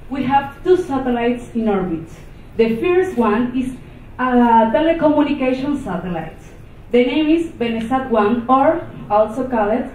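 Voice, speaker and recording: A woman from Venezuela, a non-native English speaker with a Venezuelan accent, giving an unscripted conference talk in a halting way, with many restarts and fillers. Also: sits high, slight background noise. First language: not English. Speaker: conference presenter. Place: conference hall. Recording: echoey, distant, phone-like hall recording.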